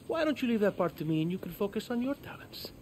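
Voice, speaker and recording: Game crime boss: polite menace, condescending, very varied intonation. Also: male voice, high-pitched, very animated, darkish tone, slight background noise. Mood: courteous menace. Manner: courteous, condescending, unhurried. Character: smooth-talking, coldly menacing male smuggler boss. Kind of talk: video game cutscene acting.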